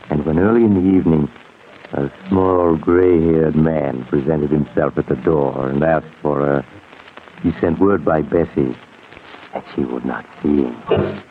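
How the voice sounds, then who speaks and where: An actor playing an enigmatic, ominous storyteller narrating a 1940s radio mystery on an old hissy recording. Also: male voice, a bass voice, phone-quality sound.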